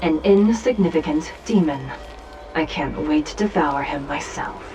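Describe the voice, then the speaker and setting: An actress playing a stern, composed judge in a video game, speaking in a formal, measured way. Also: female voice, sits low, mellow, soft background music, low-quality recording. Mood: coldly menacing. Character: stern, composed female judge, an illusory double. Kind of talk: video game character acting.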